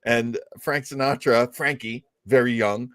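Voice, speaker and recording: A man with a medium-pitched voice hosts a casual discussion. He explains and retells old comedy bits in an informal way, and the sound is dry and close. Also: steady tone, unhurried, fairly bright tone, no echo. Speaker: show host. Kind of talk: casual panel chat about old radio comedy.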